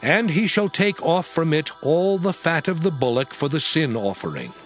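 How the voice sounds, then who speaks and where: Expressive male Bible narrator over music. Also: a little high, moderate pace. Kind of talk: dramatized scripture reading, scripted narration. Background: music under the voice.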